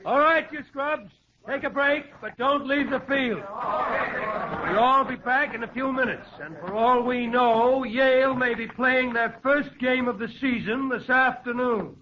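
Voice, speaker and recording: A man's voice: very high-pitched, measured pace. An actor playing a worked-up male college baseball coach in a 1940s radio drama. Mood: commanding. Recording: muffled, noisy 1940s radio recording.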